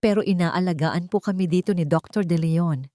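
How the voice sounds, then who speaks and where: A woman voicing an anxious, timid housemaid and mother who answers haltingly and defensively in a story. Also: on the low side, warm tone, no room sound, clean studio sound, lightly acted. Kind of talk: audio drama storytelling.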